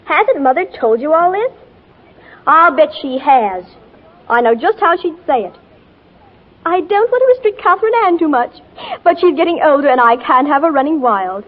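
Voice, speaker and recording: A headstrong tomboy of fifteen in an old radio drama. The voice is high and girlish, earnest, slangy and gushing. The recording is muffled and old. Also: sing-song, moderate pace. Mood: pleading, indignant. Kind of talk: 1940s radio drama acting.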